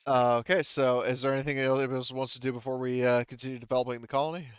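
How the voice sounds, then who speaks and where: A man's voice: medium pitch, level tone, racing, smooth, dry recording, lo-fi sound. A man running a tabletop game session as game master, talking casually in a rambling, hesitant way. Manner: rambling, hesitant, often repeats "you know" and "like".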